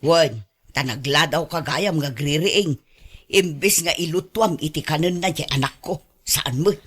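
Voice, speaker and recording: A woman's voice: sits low, a little slow, fairly bright tone, breathy edge, full character voice. A clingy, sarcastic elderly mother. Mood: sarcastic, scolding. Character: doting, possessive elderly mother, sarcastic toward her daughter-in-law. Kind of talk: radio drama acting in Ilocano.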